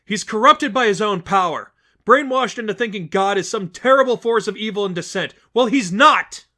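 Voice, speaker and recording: Casting: male reader as young heroine of a dramatic story, higher pitched, defiant, earnest, emphatic delivery to a crowd. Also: lively intonation, normal speed, bright, forceful tone. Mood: impassioned. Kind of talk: dramatic audiobook-style fan fiction reading.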